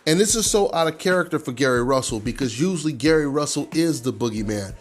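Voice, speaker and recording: A man's voice: medium pitch, lively intonation, quick pace, soft background music. A man hosting a boxing news show, giving conversational commentary in an emphatic way, repeating points for stress. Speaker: host of a boxing news channel.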